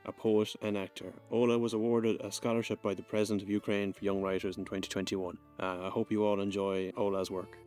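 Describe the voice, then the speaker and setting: A man reads a short introduction of the next performer at an event, in a steady read-aloud manner. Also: fairly low pitch, brisk, hardly any pauses, over music. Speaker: event presenter. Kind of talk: reading an introduction.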